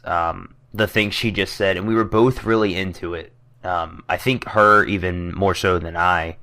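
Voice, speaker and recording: A young man with a very low voice co-hosting a relaxed podcast. He hesitates often, fills gaps with ums and drops in dry jokes. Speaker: podcast co-host. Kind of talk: casual chat.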